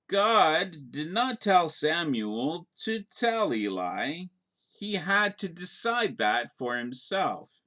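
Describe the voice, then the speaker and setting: Slow, bright-voiced pastor reading devotionals. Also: male voice, medium pitch.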